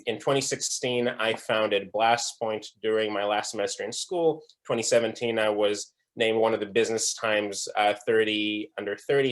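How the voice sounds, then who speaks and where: Young man giving a conference talk. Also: fairly low pitch. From Israel. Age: young adult. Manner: earnest, with frequent um fillers and restarts. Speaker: conference speaker. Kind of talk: presentation talk. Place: online breakout session.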